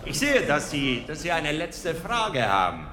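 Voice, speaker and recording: Genial Viennese lecturer, radio drama, bright forceful voice, theatrical courtesy, dry wit, long hall reverb. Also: male voice, on the high side, huge pitch swings, a little slow, full character voice. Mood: wry, formal. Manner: courteous, theatrical, emphatic lecturing with repeated phrases. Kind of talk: German radio drama acting.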